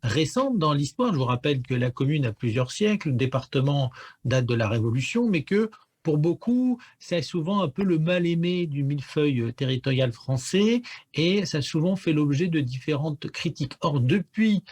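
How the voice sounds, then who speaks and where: A man's voice: on the high side, lively intonation, moderate pace, darkish tone, slightly rough. A man giving analysis as a guest pollster in a radio interview, explaining things with frequent stammered repeats.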